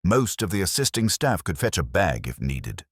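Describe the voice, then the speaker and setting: A man playing a prickly, deep-voiced church verger. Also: big pitch swings, moderate pace, breathy edge, dry recording, studio quality, full character voice. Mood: defensive. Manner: snappish, indignant. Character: prickly, defensive long-serving church verger.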